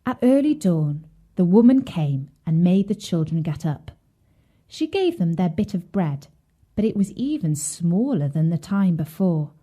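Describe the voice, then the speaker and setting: A woman reading a scripted children's bedtime story in a measured, expressive way. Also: on the low side.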